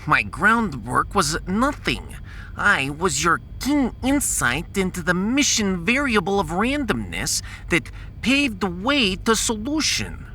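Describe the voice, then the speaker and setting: High, bright scientist. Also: male voice, very animated, slow pace, a little hiss or hum, heavily acted, rough recording. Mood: earnest, devoted. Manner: long-winded, precise, dropping articles. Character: pedantic, proud male scientist devoted to his wife. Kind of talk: science fiction audio drama acting.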